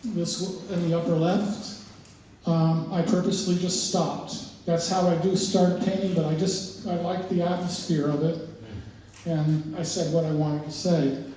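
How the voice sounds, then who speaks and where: An older man, the exhibiting artist, giving an informal, halting talk about his work with many pauses and restarts. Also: a little high, little pitch movement, dark tone. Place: art gallery, indoors. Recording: very echoey room, voice far from mic, faint noise.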